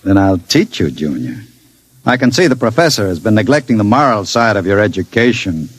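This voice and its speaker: An actor playing a suave, scheming criminal, mock-polite and insinuating, in an old-time radio drama. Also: male voice, lowish voice, very animated, brisk, breathy edge, dry recording. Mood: coolly commanding. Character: suave, scheming male criminal, coolly sinister manipulator.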